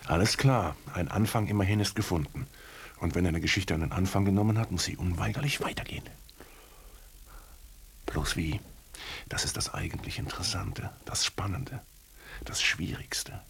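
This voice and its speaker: An actor playing an author who narrates his own story in a dry, dramatic radio-play manner, with a gravelly voice. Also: low voice, expressive, normal speed, long pauses, in a whisper. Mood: musing.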